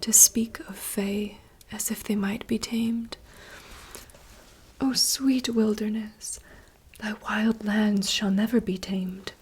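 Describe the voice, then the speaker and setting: A woman voicing a wistful young princess in a soft, slow, poetic whisper. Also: mid-range voice, fairly even intonation, a little hiss or hum, natural voice. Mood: yearning. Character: wistful young princess longing for wild woods. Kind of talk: whispered monologue reading.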